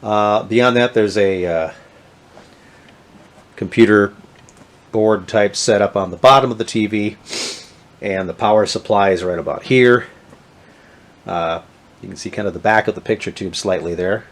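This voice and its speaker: A middle-aged man, talking casually and haltingly with many fillers as he shows off old electronics. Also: fairly low pitch. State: has a cold, sniffly. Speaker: vintage electronics video host. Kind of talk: casual show-and-tell narration.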